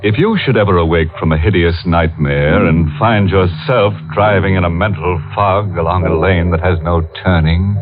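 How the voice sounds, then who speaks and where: A man's voice: deep voice, normal speed, hardly any pauses. A man hosting a scripted 1940s radio horror show, sardonic, theatrical and mock-sinister. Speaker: host. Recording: tinny old radio recording. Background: music.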